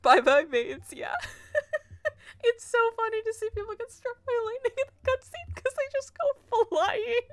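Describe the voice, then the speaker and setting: A woman's voice: extremely high pitch, sing-song, measured pace, dry recording. A woman game streamer chatting and commenting casually live, in a giggly, rambling way.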